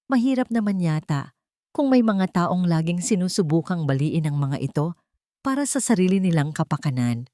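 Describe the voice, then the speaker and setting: A woman's voice: fairly low pitch, expressive, moderate pace, dark tone, no room sound, studio quality, lightly acted. A woman voicing a cold, commanding, condescending rich society lady in an audio story. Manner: icy, authoritative, condescending.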